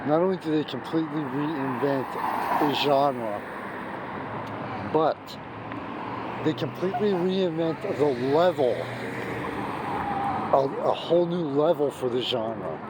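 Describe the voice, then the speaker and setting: A hoarse middle-aged man giving an emphatic, gushing music review, recorded outdoors on a city street at night, restarting his sentences often. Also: on the high side, slow pace, long pauses. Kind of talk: off-the-cuff video review. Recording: street noise and traffic behind.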